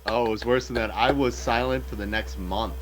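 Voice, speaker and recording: A man's voice: fairly low pitch, fairly quick, a loud crowd. Chatty man recovering from voice loss. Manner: animated, rambling storyteller with many fillers. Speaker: game designer guest. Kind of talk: casual livestream chat.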